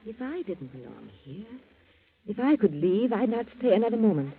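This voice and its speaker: Foreboding household woman, 1940s radio thriller: very husky, dramatic inflection, uneasy; narrow vintage sound. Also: medium pitch, sing-song, moderate pace, heavily acted. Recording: muffled narrow-band 1940s radio recording.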